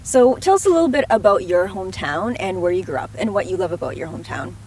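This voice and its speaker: A woman's voice: mid-range voice, expressive, fast talker, darkish tone, distant mic, a little hiss or hum. A woman hosting an interview in a park, asking questions in an upbeat, encouraging manner. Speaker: interviewer host. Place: outdoor city park.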